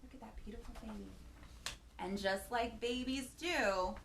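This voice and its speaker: High-voiced woman hosting an echoey children's storytime. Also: unhurried. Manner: expressive, gasping, asking the children questions. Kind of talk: animated picture-book read-aloud for young children. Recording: echoey room with faint background noise.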